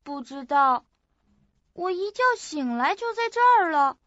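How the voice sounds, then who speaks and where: A woman acts out a sweet, naive young woman in a radio play. Her voice is extremely high and unhurried, feeling its way hesitantly through each phrase. The sound is dry but a little muffled and lo-fi. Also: sing-song, fairly bright tone, no room sound, full character voice. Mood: innocent, earnest. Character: childlike, sweet, forgetful young woman just awakened. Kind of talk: audio drama acting. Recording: muffled lo-fi audio.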